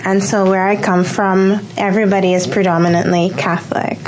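A woman's voice: medium pitch, level tone, a little fast, background noise. A young woman from Curacao telling her testimony in a radio studio, hesitant with many ums. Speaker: guest sharing testimony. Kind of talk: personal testimony in interview.

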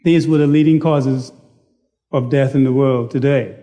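A man preaching a sermon in a slow, deliberate, self-deprecating manner. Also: mid-range voice, fairly even intonation, dark tone. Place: church sanctuary.

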